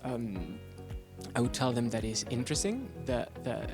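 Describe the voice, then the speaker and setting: A man's voice: medium pitch, very animated, moderate pace. A man who played a game, reflecting on it in an interview while searching for words. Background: background music.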